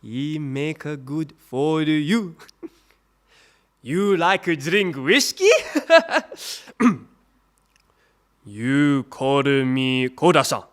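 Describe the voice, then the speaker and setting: An actor playing a leering Japanese army colonel in an audio drama, speaking halting, insinuating English with a Japanese accent. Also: male voice, a little high, very animated, heavily acted. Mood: smug, ingratiating.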